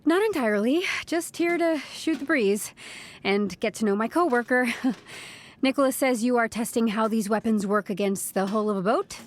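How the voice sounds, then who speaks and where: A woman's voice: a little high, moderate pace, natural voice. An actress playing a wry, uneasy woman from HR turned amateur sleuth in an audio drama, with an awkwardly breezy manner. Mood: nervously breezy. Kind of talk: podcast audio drama acting.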